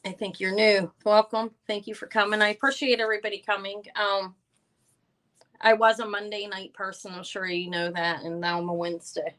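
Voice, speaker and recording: Loud, bright-voiced woman hosting a live sale. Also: mid-range voice, level tone, normal speed. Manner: chatty, rambling, loud voice. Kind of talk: casual live-stream chat greeting viewers.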